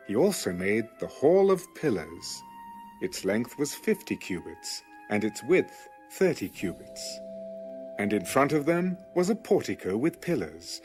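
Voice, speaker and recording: A male narrator reads scripture in a measured, solemn way. His pitch moves in a lively range, and faint music plays behind him. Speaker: Bible narrator.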